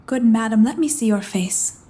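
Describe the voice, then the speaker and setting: An ardent young messenger of Shakespearean audio drama, a woman acting a girl in page's disguise. The voice is on the high side, earnest and courtly, declaiming with witty grace. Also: normal speed, dark tone, natural voice. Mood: courtly, playful.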